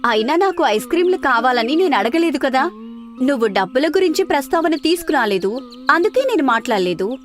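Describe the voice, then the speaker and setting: Woman voicing a high-voiced gluttonous elder sister. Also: sing-song, fairly quick, faint music behind. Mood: nonchalant. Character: gluttonous, shameless elder sister in a moral story.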